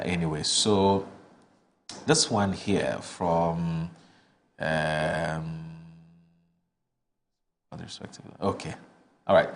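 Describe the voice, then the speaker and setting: A very low-pitched man with a Ghanaian English accent reads viewer texts aloud on a studio morning show. He stumbles and restarts between brisk read-out passages, with a faint hum of room ambience behind him. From northern Ghana. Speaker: morning show host reading viewer messages. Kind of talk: reading listener texts on air, chatty asides. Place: television studio.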